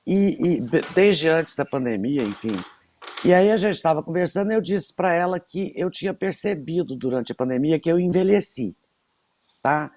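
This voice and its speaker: A woman's voice: sits low, normal speed, a little hiss or hum. A woman in her sixties, co-hosting a radio show, telling stories in a casual chat with lots of emphatic repetition. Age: 60s. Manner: animated storyteller, emphatic repetitions.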